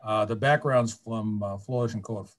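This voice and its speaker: A man's voice: lowish voice, moderate pace. Plainspoken older man on a video call. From California. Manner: plainspoken, wry asides. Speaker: guest panelist. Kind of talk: casual storytelling on a video call. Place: small office, indoors. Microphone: video call webcam.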